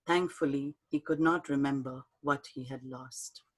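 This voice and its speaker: Soft-spoken woman on a video call. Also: very deep voice, steady tone. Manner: soft, halting, warm storytelling with fillers. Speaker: speaker at an online talk. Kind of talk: reading her poem and answering questions.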